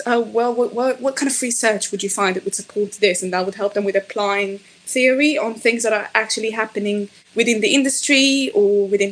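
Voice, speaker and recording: A woman's voice: a little high, a bit bright, rough recording. A middle-aged woman from Israel, a native Hebrew speaker, speaking thoughtfully in an interview with many fillers and restarts. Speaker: podcast guest, fashion designer. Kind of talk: conversational interview.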